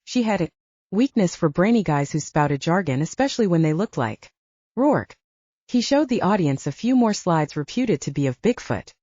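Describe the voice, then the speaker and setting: A woman narrates an audiobook with a low, mellow voice and lively, varied intonation. She reads scripted fiction, and the sound is close and dry. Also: no room sound, highs cut off.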